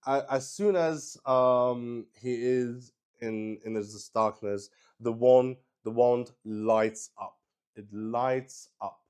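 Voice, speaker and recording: A man's voice: mid-range voice, steady tone, slow, a little mellow, smooth. A man hosting a solo tabletop roleplay stream, improvising the narration as he thinks aloud and repeats phrases. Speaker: game master and solo player, show host.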